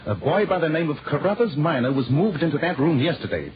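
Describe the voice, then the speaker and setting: Animated, high-toned Sherlock Holmes, played by a man. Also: fast talker, close to an everyday voice. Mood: urgent, incisive. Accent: English. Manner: clipped, theatrical, precise, formal diction. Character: Sherlock Holmes, brisk, incisive, commanding English detective. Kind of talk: 1940s radio acting. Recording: muffled, noisy 1940s radio recording.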